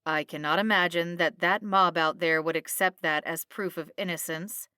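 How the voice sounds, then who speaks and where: A woman's voice: on the low side, fairly even intonation, normal speed, sharp tone, smooth, no echo, clean studio sound. A woman voicing a thin, authoritative male military captain in a storybook, sceptical, clipped and sardonic. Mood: dry, sceptical.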